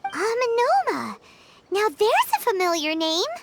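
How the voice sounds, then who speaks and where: A little fairy sidekick in a video game, eager and childlike. The voice is extremely high and squeaky, unhurried, with loud music behind it. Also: female voice, sing-song, heavily acted. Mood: curious, surprised. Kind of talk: game voice acting.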